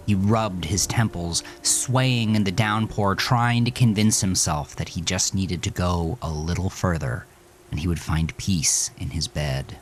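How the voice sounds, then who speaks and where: A man narrating a horror story with slow, intense delivery. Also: lowish voice, slight background noise.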